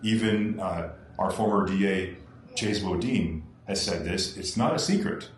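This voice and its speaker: Low voiced guy on a podcast, earnest, says things twice, roomy echo. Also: unhurried, a little hiss or hum. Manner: earnest, repeats points for emphasis. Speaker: political candidate guest. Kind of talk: podcast discussion.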